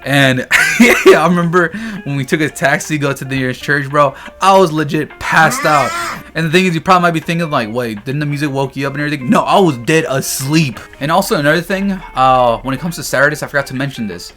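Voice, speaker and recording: A man's voice: a little high, brisk, pushed and bright, faint music behind. A young man from Texas chatting casually and jokingly to his viewers, in loose, slangy storytelling. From Texas, United States. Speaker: vlogger. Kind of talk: casual comedic chat.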